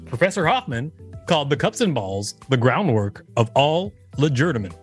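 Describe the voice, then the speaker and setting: A man's voice: medium pitch, very animated, normal speed, soft background music. A man co-hosting a podcast, reading an encyclopedia page aloud and adding casual commentary. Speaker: podcast co-host.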